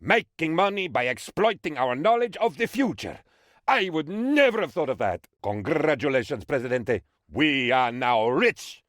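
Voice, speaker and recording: Comic game role: sycophantic male aide to a tropical strongman. Exaggerated, highly animated, mid-pitched, close dry studio sound. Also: dry recording. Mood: gleeful, excitable. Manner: theatrical, exaggerated, fawning. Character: excitable, sycophantic male political aide to an island dictator. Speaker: adviser character briefing the player. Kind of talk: comic video game character voice-over.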